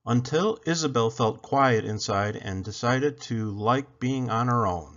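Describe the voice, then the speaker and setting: Male storyteller for children's picture book, mid-pitched and very expressive, slightly muffled lo-fi sound. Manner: expressive, deliberate storytelling delivery. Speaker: read-aloud storyteller. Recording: dull lo-fi recording.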